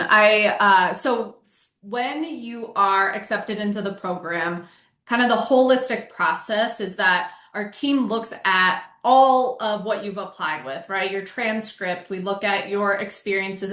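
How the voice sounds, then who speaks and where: A woman's voice: medium pitch, fairly bright tone. American female program coordinator, medium register, approachable informal Q&A delivery, noticeably roomy sound. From Aurora, Colorado, United States. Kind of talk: informal question-and-answer presentation.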